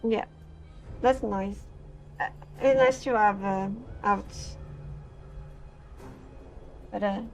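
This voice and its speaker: A woman from Portugal, a gaming creator reading game text aloud and chatting in a halting way, full of fillers and restarts. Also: mid-range voice, faint music behind, low-quality recording.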